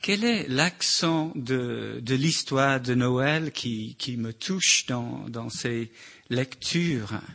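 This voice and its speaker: A man with a slight accent giving a halting, informal sermon reflection with many restarts. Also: mid-range voice, animated, creaky, fairly bright tone. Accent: slight foreign accent in French. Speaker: church member sharing a reflection.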